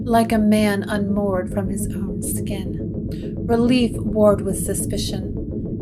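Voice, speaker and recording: A woman narrating a scripted gothic horror story in a measured, dramatic storytelling manner. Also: mid-range voice.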